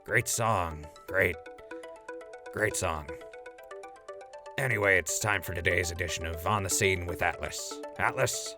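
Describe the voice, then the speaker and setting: A man acting in an audio drama as a world-weary, sarcastic wasteland radio host, gruff and casual. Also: low voice, measured pace, long pauses, music in the background. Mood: upbeat hosting.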